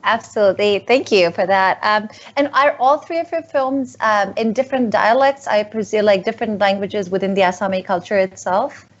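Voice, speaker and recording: A woman hosts an interview in a chatty, casual way, filling her questions with "like" and "you know". Her voice sits in a middle pitch. She comes through a dull, lo-fi video call. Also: moderate pace, few pauses. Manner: chatty, with many likes and you-knows. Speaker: interview host.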